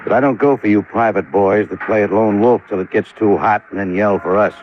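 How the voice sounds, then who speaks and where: An American actor playing a blunt, skeptical homicide sergeant in an old-time radio drama. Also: male voice, on the low side, steady tone, fairly quick, lightly acted. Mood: suspicious, grudging. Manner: blunt, gruff, unimpressed. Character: gruff, skeptical, grudging homicide police sergeant. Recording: dull, noisy vintage radio recording.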